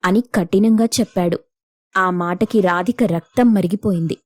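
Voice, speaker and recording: A woman's voice: on the low side, moderate pace, darkish tone, no echo, clean studio sound. A woman reading scripted narration for a moral story as its narrator.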